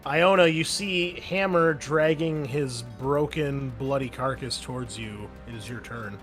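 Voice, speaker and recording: A man's voice: mid-range voice, measured pace. Male game master, easygoing delivery, faint music bed. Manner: casual, relaxed, frequent fillers. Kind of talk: running a tabletop game.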